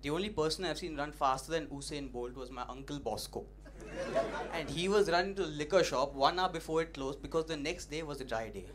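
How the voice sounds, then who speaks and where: Young comedian, punchy delivery. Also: male voice, a little high, brisk. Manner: punchy, animated, teasing the audience. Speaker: stand-up comedian. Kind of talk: stand-up comedy set. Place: comedy club stage. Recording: noisy live club recording.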